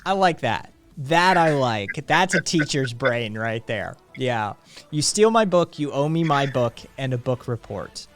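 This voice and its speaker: A man's voice: mid-range voice, sing-song, unhurried, fairly bright tone. A man in his late thirties chatting on a live stream in a loose, rambling way, swearing freely and filling gaps with ums. His voice sits in a middle range. Faint music plays underneath. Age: late 30s. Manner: profane, rambling, laid-back with frequent fillers. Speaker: streamer host. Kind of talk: casual live-stream chat.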